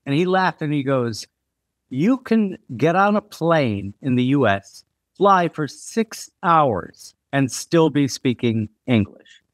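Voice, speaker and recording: A man hosting a podcast, chatting casually and telling a story in a relaxed way. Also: a little high, takes it slowly, no room sound, clean studio sound. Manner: relaxed storytelling with short agreements. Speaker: podcast host. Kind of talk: casual conversation.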